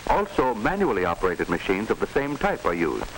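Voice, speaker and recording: Low-voiced American narrator, hissy 1940s film. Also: male voice, very animated, fairly quick, poor audio.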